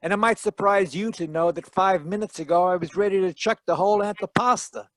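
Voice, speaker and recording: A man's voice: high-pitched, brisk, fairly bright tone, no room sound. A man playing a wry, tough private detective in a 1940s radio style, dryly wisecracking, recorded over a video call. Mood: cocky, insistent. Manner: wisecracking, dry. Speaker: actor narrating and playing lead detective. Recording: live video call performance.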